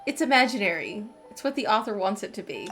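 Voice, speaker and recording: A woman's voice: mid-range voice, big pitch swings, fast talker, fairly bright tone. A woman gaming streamer giving casual commentary and reading game text aloud. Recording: game music under the voice.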